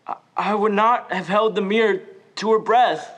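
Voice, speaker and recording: A student actor playing a gentle, earnest, deformed young man who stammers and speaks haltingly in a stage play. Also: medium pitch, lightly acted. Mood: shy, earnest. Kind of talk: high school stage play acting. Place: school theater stage. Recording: echoey theater hall.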